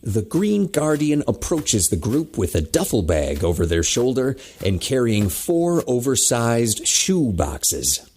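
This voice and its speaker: Male narrator, husky and animated, for scripted dramatic storytelling. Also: mid-range voice, takes it slowly.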